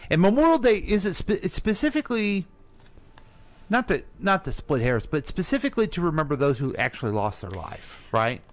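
A man's voice: a little high, sing-song, a little fast. A middle-aged American man co-hosting a radio show, asking questions in casual conversation.

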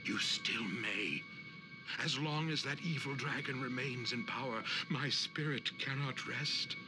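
A man's voice: medium pitch, measured pace, close to an everyday voice. A man playing a solemn warrior spirit, a father guiding his son in a video game, with grave, portentous delivery. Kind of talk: video game cutscene acting. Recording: music behind the voice.

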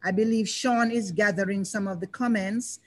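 An older woman leads a panel discussion over a video call. Her voice sits at a middle pitch, and she speaks in a reflective, measured way, pausing and restarting as she shapes each thought. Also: steady tone. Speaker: panel moderator. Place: indoors, in front of a banner.

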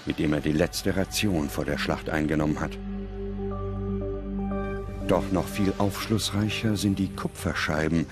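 A man reading scripted documentary narration in a measured, dramatic manner. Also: low-pitched.

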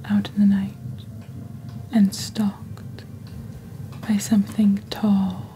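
A woman playing a composed, eerie witch saleswoman in a hushed, deliberate ASMR whisper, close to the microphone. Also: mid-range voice, level tone, slight background noise, lightly acted. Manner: slow, deliberate, hushed storytelling delivery. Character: female witch, eerie, composed seller of cursed wares. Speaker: ASMR host. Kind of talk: soft-spoken whispered ASMR, scripted shopping-channel roleplay. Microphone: close studio microphone on a desk.

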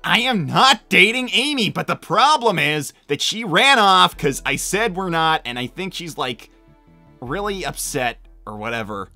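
A bright, punchy voice for a brash young cartoon hedgehog acted by a man. He is casual and impatient, with very animated pitch over faint music. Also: measured pace, no echo, heavily acted. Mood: flustered. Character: brash, exasperated young male hedgehog hero. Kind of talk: fan-made animated series voice acting.

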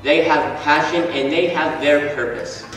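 A man reciting a spoken word poem on a school stage in a slow, measured, declamatory way. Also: fairly high pitch, a bit bright. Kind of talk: spoken word poetry performance. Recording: echoey hall from far off, music behind.

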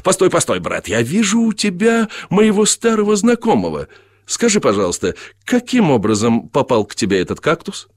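Audiobook role: blunt, hearty traveller played by a male narrator, exclamatory and teasing, raised pitch, very lively swings, dry sound. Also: normal speed, no echo. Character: loud, hearty, blunt brother back from South America, chiding his friend.

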